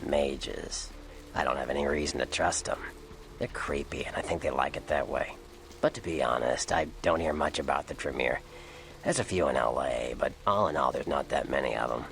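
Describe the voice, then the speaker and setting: An actor voicing a cynical, sly Nosferatu vampire informant in a video game, with a gravelly American voice and a dry, sardonic manner. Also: male voice, extremely low pitch, normal speed, a bit bright, soft background music, exaggerated voice. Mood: matter-of-fact. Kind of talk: video game character dialogue.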